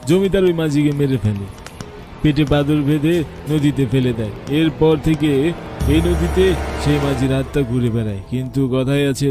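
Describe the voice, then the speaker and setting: A man playing a solemn, commanding village exorcist who tells a dark legend in a horror cartoon. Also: medium pitch, lightly acted. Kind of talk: horror cartoon voice acting, storytelling.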